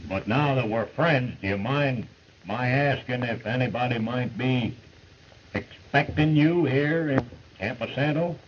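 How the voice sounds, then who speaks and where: A man's voice: medium pitch, unhurried, dull audio, a little hiss or hum, lightly acted. An actor playing a joking, folksy frontier man with a wry, watchful manner, on an old film soundtrack.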